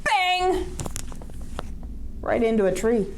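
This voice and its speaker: A woman's voice: on the high side, animated, a little slow, a little mellow, slight background noise, poor audio. A woman reading a picture book aloud to young children, expressively, with questions and asides to her listeners.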